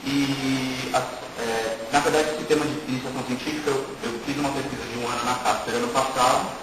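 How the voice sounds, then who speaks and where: A young man with a Brazilian accent gives an informal academic presentation as a student, haltingly, often repeating words. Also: mid-range voice, fairly even intonation, loud music behind the voice. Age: young adult. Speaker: undergraduate student presenting research. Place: indoor room at an academic conference. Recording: echoey room, distant mic, loud noise.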